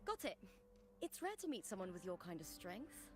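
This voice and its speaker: A woman's voice: mid-range voice, very animated, moderate pace, close to an everyday voice. A woman voicing a fiery, proud young female gladiator in a video game, blunt and brash with emphatic delivery. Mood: friendly, confident. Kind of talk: dramatic video game voice acting. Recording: game audio with music underneath.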